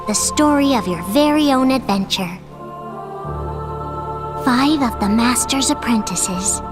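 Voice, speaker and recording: A woman acting as the solemn storyteller of a fantasy video game, with measured, deliberate delivery. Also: on the high side. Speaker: narrator. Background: soft new-age music.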